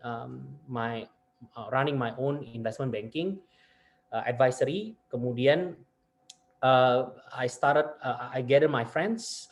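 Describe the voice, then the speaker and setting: A man from Indonesia, a venture capitalist, speaking casually and switching between Indonesian and English with hesitant fillers. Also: mid-range voice. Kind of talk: casual career talk on a video call.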